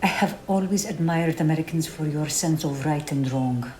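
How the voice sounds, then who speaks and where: An actress playing a gracious, earnest museum guide in an audio play, speaking formally and precisely. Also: female voice, sits low, moderate pace, darkish tone, roomy sound, slight background noise, natural voice. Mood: gentle, helpful. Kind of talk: audio drama acting.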